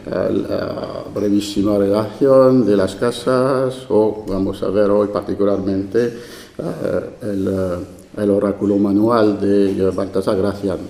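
A man with a French accent, a native French speaker, giving a formal academic conference lecture in a university lecture room, measured with occasional hesitations. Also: on the low side, flat delivery, mellow. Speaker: invited speaker. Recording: echoey lecture hall.

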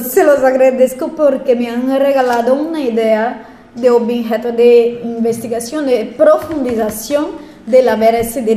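A woman's voice: a little high, warm tone. A woman in the audience making a comment, hesitant and searching for her words. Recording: echoey room with faint ambience.

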